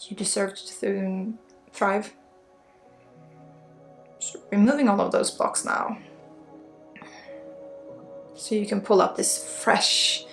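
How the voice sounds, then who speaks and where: Creaky-voiced Swedish woman guiding ASMR healing. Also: medium pitch. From Sweden. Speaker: energy healer and ASMR host. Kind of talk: ASMR spiritual healing session, unscripted. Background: faint music.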